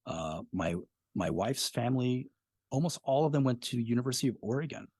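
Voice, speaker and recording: A middle-aged man, a podcast creator who talks about his work in a thoughtful, measured way. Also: medium pitch, lively intonation, a little mellow, breathy edge, dry recording, studio quality. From Spokane Valley, Washington. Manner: thoughtful, measured, some hesitations. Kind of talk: casual interview chat.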